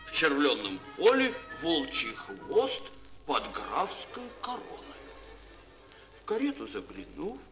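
A man narrating a fairy tale for children in a theatrical, grand, declamatory style with dramatic pauses. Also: a little high, fairly bright tone, poor audio. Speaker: storyteller. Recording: mono vintage children's audio record.

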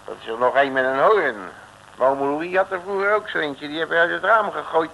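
An actor playing a cocky working-class detective with a Rotterdam accent, folksy and slangy, in a vintage radio serial. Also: male voice, on the high side, brisk, gravelly, pushed and bright, muffled recording. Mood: offhand. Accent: Rotterdam Dutch. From Rotterdam, Netherlands. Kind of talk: early-1960s radio serial acting. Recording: noisy vintage radio recording.

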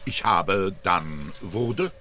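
An actor playing a self-absorbed, erratic artist in a radio play, speaking in halting fragments and abrupt outbursts. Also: male voice, low-pitched, animated, sharp tone, a little hiss or hum, exaggerated voice. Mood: stammering, scattered.

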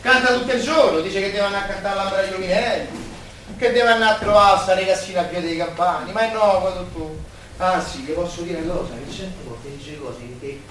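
An actor playing a chatty, warm-hearted working-class Roman man in a radio play, with colloquial, expressive, gossipy talk. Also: sits high, brisk. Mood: sad, confiding. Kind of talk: Italian stage-style radio theatre dialogue. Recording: distant, very echoey room, faint noise.

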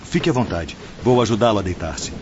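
A man playing a calm, courteous surgeon in a radio drama, with formal delivery. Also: fairly low pitch, fairly quick, noisy background, close to an everyday voice. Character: calm, courteous male surgeon of spirit hospital. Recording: muffled audio with music behind.

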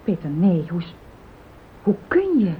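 An actress playing a worried, conscientious wife in a radio play, questioning and gently reproachful. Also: female voice, big pitch swings, unhurried. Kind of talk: Dutch radio play acting. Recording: muffled phone-like old radio recording, rumbling hiss.

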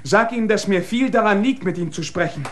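An actor in a German radio drama playing an eager young messenger reporting hurriedly. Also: male voice, high voice, brisk, a bit bright, slight background noise.

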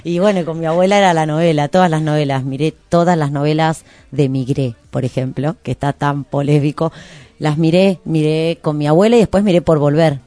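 A woman's voice: low voice, fairly quick, dark tone, no echo. A woman with an Argentine Rioplatense accent hosting a radio show in a casual, rambling, playful chat. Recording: background music. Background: music.

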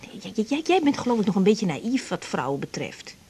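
An actress playing a nervous, intuitive young woman doctor in a radio drama, husky and opinionated. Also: medium pitch, big pitch swings, a little fast, warm tone. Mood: nervous, wary. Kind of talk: naturalistic radio drama dialogue.

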